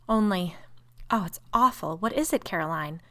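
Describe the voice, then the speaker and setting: An anxious lady from a gothic storybook, acted by a woman narrator. She is frightened and exclamatory, faltering as she speaks, with her pitch lifted above plain narration. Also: animated, moderate pace, sharp tone. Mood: intense horror. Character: horror-stricken married woman, anxious and suspicious.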